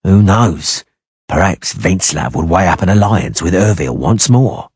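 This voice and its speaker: A man voicing a cold, menacing assassin who drawls extremely slowly, in an audiobook reading. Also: deep voice, expressive, a little mellow, husky, no echo, studio quality, heavily acted. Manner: slow drawl, sinister.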